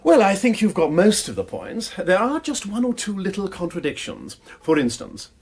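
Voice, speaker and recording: A witty English aristocrat who dabbles in detection, for a radio drama. His upper-class voice is fairly high and very animated, with a little huskiness. He banters flippantly and drawls his exclamations. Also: moderate pace, vocal fry, breathy edge, full character voice. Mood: curious, musing. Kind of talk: BBC radio drama acting.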